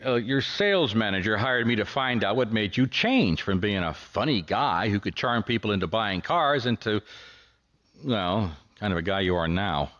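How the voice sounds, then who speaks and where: An actor with an American accent playing a wry, put-upon 1950s private detective in a radio drama, plain-spoken and a little hesitant. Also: male voice, on the low side, lively intonation, moderate pace, a bit bright. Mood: tentative, probing. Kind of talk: radio drama acting with first-person detective narration.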